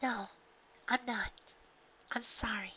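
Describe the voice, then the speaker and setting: A woman voicing a gentle, sympathetic pony apparition in an audio story, with a soft, smooth, hushed and sorrowful delivery. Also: on the high side, steady tone, slow, dull audio, slight background noise. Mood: tender, sorrowful.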